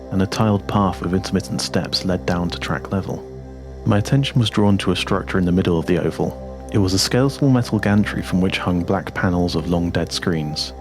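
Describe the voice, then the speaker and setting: A man with a deep voice narrates dark science fiction. His reading is measured and deliberate, like a literary audiobook, telling the story in the first person. Music plays clearly underneath him. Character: introspective four-legged machine with a human pilot's mind.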